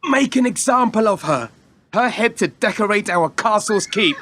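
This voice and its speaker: An actor playing a bloodthirsty, eager warrior prince in a radio play, declaiming aggressively in archaic language. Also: male voice, sits high, animated, moderate pace, pushed and bright, exaggerated voice.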